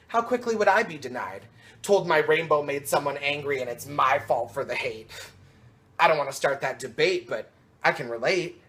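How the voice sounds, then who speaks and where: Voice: mid-range voice, fairly bright tone. Emphatic slam poet, rhythmic delivery. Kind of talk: slam poetry performance, rhymed spoken word.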